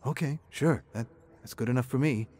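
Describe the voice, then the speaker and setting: A man's voice: mid-range voice, a little fast, darkish tone, voice pushed up, natural voice. A young stepbrother in an audiobook story, read by a man, easygoing on the surface and a little nervous. He talks casually and placatingly, keen to avoid trouble. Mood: agreeable. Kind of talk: audiobook character acting.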